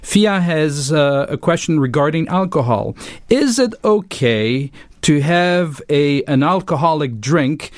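A man from French-speaking Switzerland with a Swiss French accent, co-hosting a radio show in a friendly, explanatory way with frequent pauses. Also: on the high side, lively intonation, no room sound, clean studio sound. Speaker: radio co-host.

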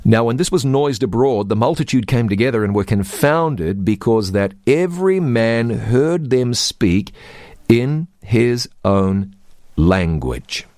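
A man's voice: medium pitch, expressive, takes it slowly, a little mellow, dry recording, clean studio sound. A man teaching the Bible, reading scripture aloud and quizzing his co-host.

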